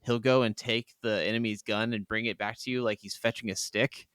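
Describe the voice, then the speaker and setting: A man's voice: lowish voice, little pitch movement, a little fast, fairly bright tone, smooth, no room sound. A man chatting casually and emphatically about video games as a podcast co-host, swearing freely. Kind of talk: casual gaming chat.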